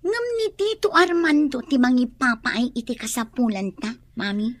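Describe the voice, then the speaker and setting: A woman's voice: a little high, very animated, moderate pace, dry recording. A woman playing an insistent, questioning young daughter in a radio drama. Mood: worried, questioning.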